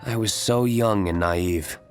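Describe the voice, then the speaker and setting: A man's voice: fairly low pitch, slow pace. An actor playing a reserved, polite young nobleman in a video game, composed and understated. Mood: wistful, reflective. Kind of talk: scripted video game voice acting. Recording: game audio with music behind.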